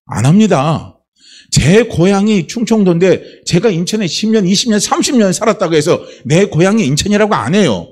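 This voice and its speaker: A man's voice: sits high, animated, moderate pace, darkish tone. A male pastor from Chungcheong preaching a Sunday sermon, asking the congregation rhetorical questions. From Chungcheong, South Korea.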